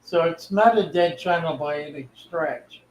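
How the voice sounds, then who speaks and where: Slow, folksy older man in an echoey room. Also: fairly high pitch, slight background noise. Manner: folksy, easygoing, reads chat comments aloud. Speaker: livestream host, fish keeper. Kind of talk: casual livestream chat.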